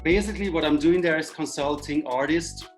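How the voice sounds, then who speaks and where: An Italian man whose first language is Italian, speaking in slightly halting English and searching for words as an interview guest. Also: fairly high pitch, soft background music. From Italy. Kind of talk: video call interview.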